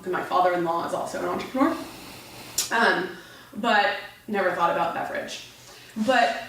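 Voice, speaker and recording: Woman entrepreneur guest, 30s, lively storyteller who restarts often; echoey, distant mic. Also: mid-range voice, slight background noise. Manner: animated storyteller, frequent restarts. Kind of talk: casual interview chat.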